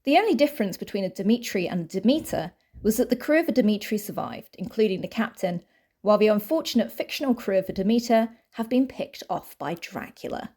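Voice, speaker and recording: A woman's voice: medium pitch, animated, fairly quick, darkish tone. A woman reading a scripted documentary voice-over as narrator.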